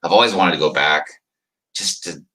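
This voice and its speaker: Deep-voiced casual man chatting with viewers. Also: normal speed, pushed and bright, rough recording. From United States. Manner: rambling, chuckling, talks to viewers by name. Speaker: livestream host reading chat. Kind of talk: casual chat.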